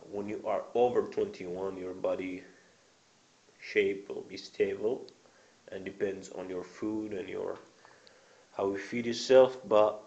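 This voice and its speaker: Guy with a lowish voice going on about his gym stuff, lots of ums, dull lo-fi webcam sound. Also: a little mellow. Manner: rambling, many fillers and restarts, blunt crude words. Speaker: vlogger. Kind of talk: casual product show-and-tell.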